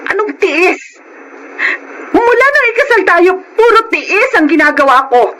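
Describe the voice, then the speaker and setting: A woman's voice: very high-pitched, big pitch swings, unhurried, bright, forceful tone, heavily acted. A woman playing a nagging, demanding wife and mother in an emotional Filipino radio drama. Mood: reproachful. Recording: noisy radio drama recording.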